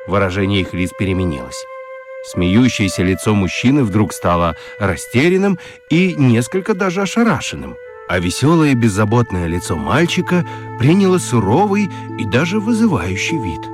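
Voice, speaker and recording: Low, animated male storyteller over music. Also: moderate pace. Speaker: narrator of a children's radio play. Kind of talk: expressive scripted storytelling. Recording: dry studio with music underneath.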